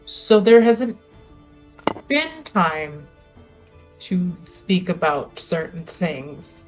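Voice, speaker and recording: Female tabletop player: unhurried, halting, improvised in-character talk over light music. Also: lowish voice, very animated, a little mellow. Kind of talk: improvised in-character roleplay with casual asides.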